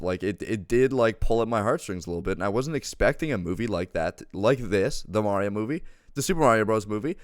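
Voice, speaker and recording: A man's voice: fairly low pitch, clean tone, no echo, clean studio sound. A man chatting casually as a film reviewer, enthusiastic and peppering his talk with "dude". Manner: enthusiastic, says dude often. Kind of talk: casual chat movie review.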